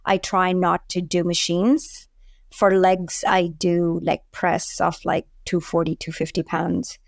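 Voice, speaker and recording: A woman's voice: lowish voice, little pitch movement, slow pace, vocal fry, dry recording, clean studio sound. A woman in her forties from India, with an Indian accent, talking casually as an interview guest. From Delhi, India. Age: 40s. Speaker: podcast guest, food entrepreneur.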